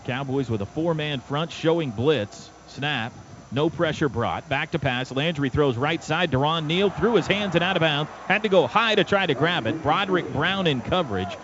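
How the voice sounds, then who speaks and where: A man's voice: on the high side, lively intonation, normal speed, muffled recording. American radio football play-by-play man, noisy broadcast. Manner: clipped present-tense calls in short bursts. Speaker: play-by-play announcer. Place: stadium radio booth. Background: clear outdoor stadium background noise.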